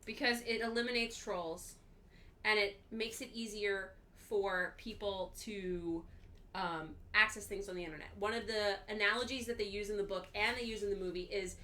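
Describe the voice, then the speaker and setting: A woman's voice: mid-range voice, fairly even intonation, moderate pace, bright, forceful tone. A woman co-hosting a casual book club livestream, explaining her thoughts point by point.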